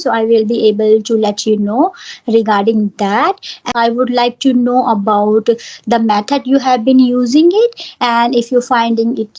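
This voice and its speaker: A woman's voice: a little high, darkish tone, dull audio. A middle-aged woman from Delhi with an Indian accent, explaining things instructively as an English teacher in a conversational lesson. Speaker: English teacher, channel host.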